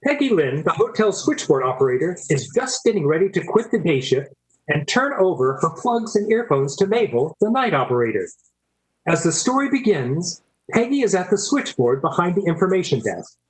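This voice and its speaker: A male announcer reads scripted narration for a radio drama. His voice sits slightly high for a man. The delivery moves with animated, varied intonation. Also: normal speed, poor audio.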